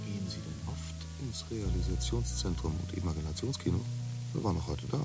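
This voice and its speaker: A gushing, leering talker. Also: male voice, low voice, lively intonation, brisk, loud music behind the voice. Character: leering chatty man at a cinema. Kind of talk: radio play acting.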